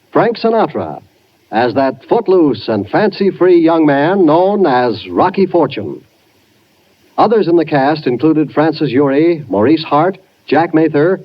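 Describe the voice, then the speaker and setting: A man working as a radio announcer, reading scripted broadcast credits in a 1950s style. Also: fairly high pitch. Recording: muffled 1950s radio recording.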